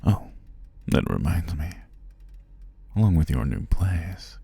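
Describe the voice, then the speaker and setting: A man playing a cruel, possessive stalker captor in an intimate ASMR roleplay, speaking in a breathy, condescending, taunting murmur that often drops near a whisper. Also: low-pitched, expressive, slow, warm tone, dry recording, heavily acted. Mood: creepy, smug.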